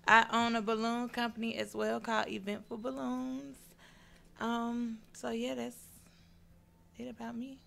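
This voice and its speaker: A woman's voice: on the high side, little pitch movement, measured pace, creaky, bright, forceful tone. A woman, chatting casually as a guest on a show. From Atlanta, USA. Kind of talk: casual chat.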